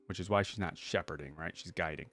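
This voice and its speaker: A man's voice: sits low, quick pace, fairly bright tone, no room sound. A man hosting a reaction video, giving casual, chatty commentary and thinking aloud in an informal way.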